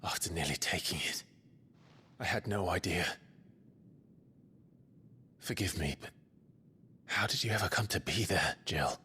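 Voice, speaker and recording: An actor playing an earnest, troubled young warrior in a video game cutscene, speaking with some hesitation. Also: male voice, low-pitched, unhurried, pauses often, bright, forceful tone, slightly rough, exaggerated voice. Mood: tender, apologetic.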